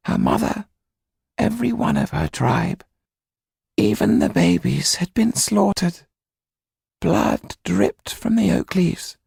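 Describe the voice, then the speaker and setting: Solemn older Romany storyteller, slow and grave, very breathy rasp with swooping pitch, audiobook drama. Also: male voice, no room sound, studio quality, heavily acted. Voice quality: whispery, gravelly, husky. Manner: slow, hushed, deliberate storytelling. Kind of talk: audiobook character reading.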